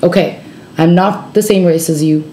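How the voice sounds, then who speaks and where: A woman's voice: on the low side, fairly even intonation, moderate pace, crowd noise. A woman on a discussion panel, talking thoughtfully with lots of hedges. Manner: thoughtful, hedging. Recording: echoey room.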